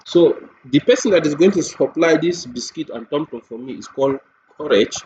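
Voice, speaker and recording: A man presents a software demo step by step, deliberately, repeating phrases and posing questions to himself as he goes. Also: on the high side, expressive. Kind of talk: step-by-step screen tutorial narration. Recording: dull lo-fi mic with clear background noise.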